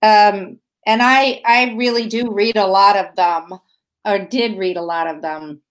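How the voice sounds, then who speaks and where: A woman's voice: medium pitch, fairly even intonation, measured pace, poor audio. Woman, middle-aged, writing teacher, chatty, lots of fillers, webcam call. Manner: chatty, many fillers and restarts. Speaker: writing instructor. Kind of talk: online workshop teaching. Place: room at home. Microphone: webcam video call.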